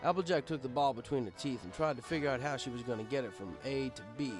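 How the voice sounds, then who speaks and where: A man narrates a story in the first person. His voice has a middle pitch for a man, and quiet music runs underneath his scripted reading. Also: fast talker.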